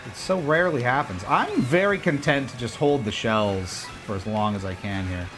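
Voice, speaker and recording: A man giving casual, joking commentary while he plays a racing game on a livestream, reacting out loud to every turn. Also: medium pitch, moderate pace, music in the background. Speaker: livestream gamer.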